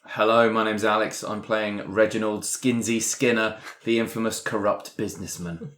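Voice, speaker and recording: A man's voice: on the low side, steady tone, normal speed, a bit bright. A man chatting casually as a player on a roleplay show. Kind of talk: casual table chat.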